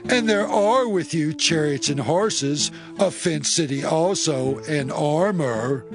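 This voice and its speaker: A man's voice: a little high, animated, slow pace, over music. An actor playing a fierce, commanding warrior king in a scripture audio drama, with imperious, declamatory delivery. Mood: stern, commanding.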